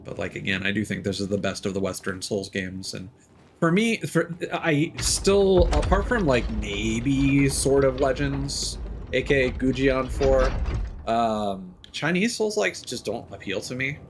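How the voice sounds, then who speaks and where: A young adult man streaming a video game, thinking aloud in casual commentary full of fillers and restarts, with sudden excited exclamations. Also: medium pitch, unhurried, slight background noise.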